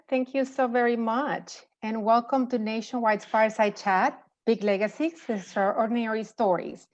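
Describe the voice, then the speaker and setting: A woman with a slightly high voice hosts a fireside chat, introducing and interviewing a guest in a prepared, presentational way. The sound is a little dull and lo-fi. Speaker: fireside chat host, corporate marketing consultant.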